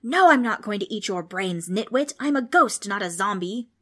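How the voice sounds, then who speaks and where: A woman's voice: medium pitch, moderate pace, fairly bright tone, natural voice. A woman voicing a grumpy, bossy old male ghost in a children's storybook, blustering and screechy. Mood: cranky, blustering. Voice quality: screechy, roaring.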